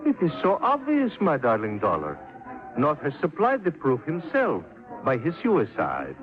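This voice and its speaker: Flamboyant greedy count, gushing, voice swoops all over, old dull radio sound w faint music. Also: male voice, on the high side, huge pitch swings, normal speed. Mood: smug, breezy. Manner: theatrical, florid, effusive. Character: flamboyant, greedy, self-admiring European count. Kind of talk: old-time radio drama acting.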